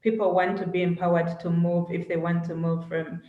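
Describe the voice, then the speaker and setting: A woman's voice: lowish voice, little pitch movement, normal speed. Female host, chatty with fillers, dull lo-fi roomy recording. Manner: conversational, many fillers. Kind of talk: panel discussion.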